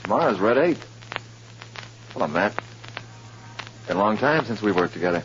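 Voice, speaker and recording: An actor playing a brisk, businesslike FBI handler, clipped and urgent, in a 1950s radio drama. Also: male voice, lowish voice, animated, natural voice. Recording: noisy, muffled old radio recording.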